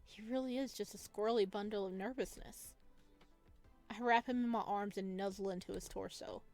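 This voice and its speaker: Woman doing a visual novel lets play, medium pitch, bit of music in the back. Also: fairly even intonation, normal speed, darkish tone. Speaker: game playthrough host. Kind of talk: first-person story narration and casual commentary on a visual novel. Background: game music.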